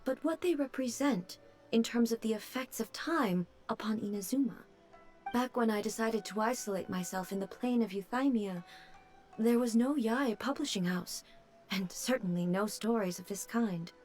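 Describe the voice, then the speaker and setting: A woman voicing a reserved, unworldly divine ruler in a video game, speaking in a measured, formal way. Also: fairly high pitch, darkish tone, soft background music, close to an everyday voice. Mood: composed.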